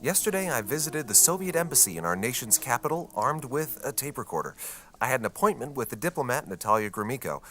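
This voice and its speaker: An actor playing an earnest, idealistic investigative journalist with an American accent, narrating and interviewing in a radio drama. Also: male voice, mid-range voice, big pitch swings, fast talker, sharp tone, faint music behind. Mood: reflective.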